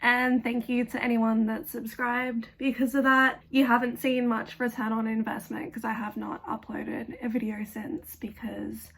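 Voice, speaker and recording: Gravelly-voiced young woman chatting casually. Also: a little high, little pitch movement, unhurried, few pauses, a bit bright. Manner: chatty, blunt, swears casually, many fillers. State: gravelly voice after illness. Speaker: video essayist. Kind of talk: casual fan commentary.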